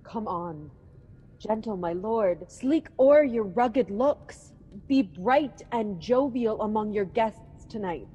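A woman's voice: medium pitch, animated, takes it slowly, mellow, husky, highs cut off. An actress playing Lady Macbeth, a cold ambitious queen, in a classic radio drama. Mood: coaxing.

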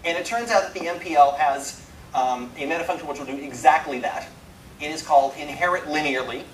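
A man with a slightly high voice delivers an informal programming lecture with dry, self-mocking humour. He speaks emphatically and pauses for effect. The sound is roomy and a little distant from the microphone. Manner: animated, self-deprecating, wry humour. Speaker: speaker giving a technical programming talk. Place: indoor talk room. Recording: roomy, distant mic, some background noise.

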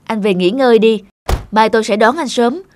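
A woman voicing a bossy, stout middle-aged male manager who gives orders and scolds briskly. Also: a little high, darkish tone, a little hiss or hum, close to an everyday voice. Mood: firm.

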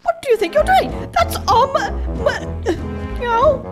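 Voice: extremely high pitch, very animated, takes it slowly, over music, full character voice. An actor voicing a flustered, politely hesitant cartoon character in a video game, with posh phrasing.

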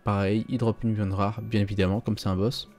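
Casual young male gaming host, warm low-key delivery, chatty. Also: brisk, soft background music. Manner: relaxed, chatty, with fillers. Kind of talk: casual gameplay commentary. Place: home gaming setup.

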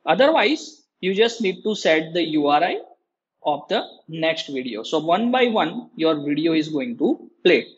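A man giving a halting, step-by-step screen tutorial as a programming lecturer. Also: on the high side, big pitch swings, husky, muffled recording. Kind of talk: screen-recorded tutorial explanation.

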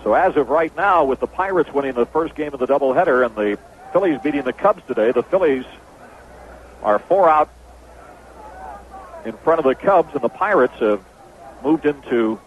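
An American man does baseball play-by-play in the manner of 1970s radio. His voice sits high for a man. The old broadcast is thin and tinny, with some noise over it. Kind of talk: 1970s radio sports commentary. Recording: noisy phone-band vintage radio broadcast.

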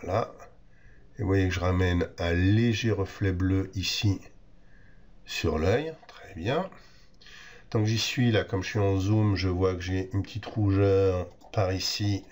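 A man with a French accent and a low voice walks through a photo edit on screen. His voice sounds stuffy from a cold. He talks in a loose, relaxed way and pauses often to think aloud. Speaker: photo editing instructor. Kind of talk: screen-recorded software tutorial.

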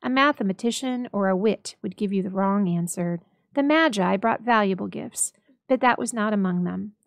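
Creaky-voiced woman reading a story. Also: mid-range voice, dry recording. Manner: expressive, measured storytelling. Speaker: library staff story reader. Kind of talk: dramatic scripted story narration.

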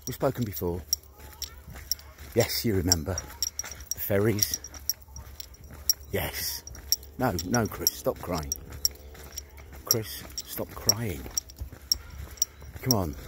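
Brash man on a noisy phone video. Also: mid-range voice. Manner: brash, sweary, mocking, pushy. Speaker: comedian doing a prank phone call. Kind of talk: one-sided mock phone call, improvised comedy. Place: outdoors among trees. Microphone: phone held at arm's length, headphones on. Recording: noisy outdoor phone recording.

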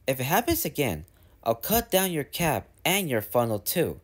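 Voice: mid-range voice, huge pitch swings, normal speed, breathy edge. A narrator voicing a stern man who runs the railway, firm and commanding.

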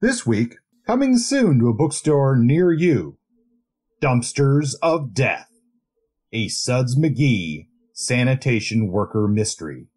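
A man's voice: mid-range voice, big pitch swings, slow pace, darkish tone, breathy edge. A man co-hosting a podcast, talking about books in a conversational, loosely hedged way. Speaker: podcast co-host. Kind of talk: casual book discussion.

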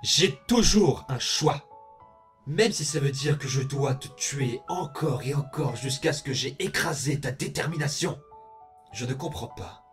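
A man playing a menacing, power-hungry undead boy in an amateur comic dub, deliberate and condescending. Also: mid-range voice, lively intonation, lightly acted. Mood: taunting, threatening. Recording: music behind.

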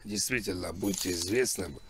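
A man's voice: deep voice, normal speed, a little hiss or hum. A man making a prank call as a music producer, chatting casually in repetitive patter. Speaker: prank caller posing as a rap label producer. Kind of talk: casual phone talk.

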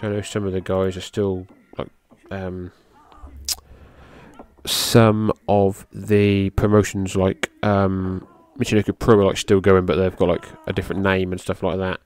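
A middle-aged man, a podcast host giving loose, rambling play-by-play of a match, who swears casually and lifts his voice at the big moves. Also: measured pace. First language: English. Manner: loose, rambling, swears casually, gets excited at high spots.

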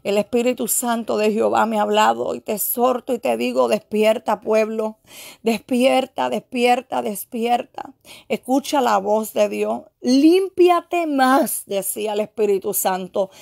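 A woman preacher with a fairly high, creaky voice delivers a prophetic exhortation. She speaks fervently, repeating her phrases with insistence as she moves between warning and prayer. The sound is dry and close. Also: little pitch movement, a little slow, darkish tone, no room sound.

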